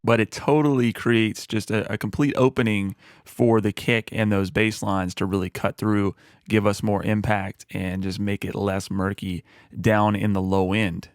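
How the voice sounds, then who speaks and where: A man with a low voice, talking through a music mixing tutorial in a relaxed, explanatory way with frequent fillers. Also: measured pace, no echo, studio quality. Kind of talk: screen-recorded mixing tutorial.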